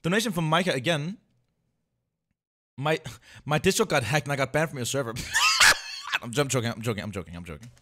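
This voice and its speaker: An excitable streamer reacting to videos and reading out donations, often laughing and repeating words. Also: medium pitch, brisk, pauses often. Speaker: streamer reacting to fan dubs. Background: game video audio and music.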